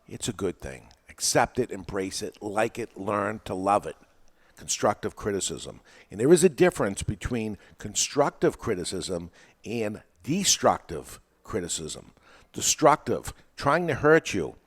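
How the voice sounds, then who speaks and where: A man's voice: fairly low pitch, very animated, a little slow. A middle-aged man with a New England accent, hosting a podcast in a casual, chatty way, repeating words as he talks. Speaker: podcast host.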